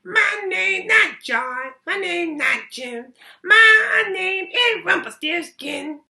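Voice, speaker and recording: A woman voicing a gleeful, menacing male goblin from a storybook, with a taunting, cackling, drawn-out delivery. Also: extremely high pitch, expressive, vocal fry, pushed and bright, pitched above the performer's own voice, full character voice. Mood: sing-song smug. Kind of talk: storybook read-aloud character voice.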